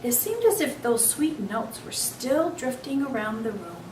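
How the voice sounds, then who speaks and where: Female story reader, expressive children's narration, echoey room, slightly distant mic, faint noise. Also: on the high side, unhurried. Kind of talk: children's book read aloud, expressive narration.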